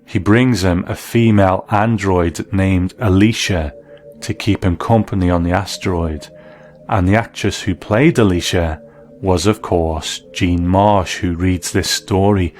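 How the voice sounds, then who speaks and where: A man with a very low voice presents as a documentary host, moving between conversation and explanatory narration. Music plays faintly behind him. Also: a little slow, a little mellow. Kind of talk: interview chat and explanatory narration.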